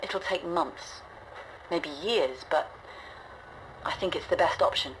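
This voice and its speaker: Weary ship's leader, low female voice, measured and grave, sci-fi game audio log, faint noisy ambience behind. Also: fairly bright tone. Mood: resolute. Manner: measured, grave, reflective delivery. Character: weary, resolute woman leader of a stranded generation ship. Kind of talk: scripted audio log narration, dramatic game acting.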